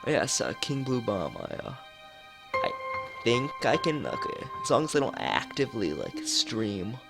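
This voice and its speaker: Male game streamer, casual and halting, very animated pitch, creaky edges. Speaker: streamer reading a visual novel. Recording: game music behind the voice. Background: visual novel background music.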